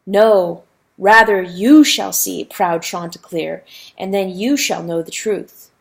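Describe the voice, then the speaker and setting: A woman voicing a talking river that speaks mildly, with calm, gently mocking wisdom. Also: medium pitch, vocal fry. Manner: soft, measured, gently mocking. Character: calm, wise talking river, mild and knowing. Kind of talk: audiobook character voice.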